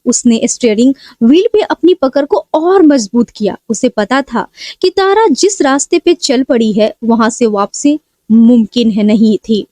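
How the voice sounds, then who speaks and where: High-voiced woman narrating a story. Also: expressive, a little slow, mellow, no room sound. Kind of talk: scripted dramatic story narration.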